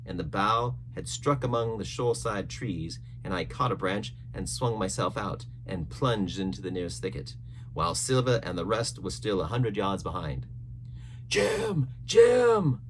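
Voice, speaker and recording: A man reading an adventure novel aloud as scripted, expressive storybook narration. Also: low-pitched, normal speed, slight background noise, poor audio. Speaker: audiobook narrator.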